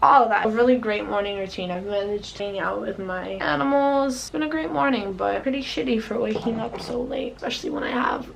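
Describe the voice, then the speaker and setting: A woman's voice: on the high side, brisk, creaky, hardly any pauses, a little hiss or hum, low-quality recording. A young woman from the United States talking casually and frankly to the camera, swearing often. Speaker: vlogger.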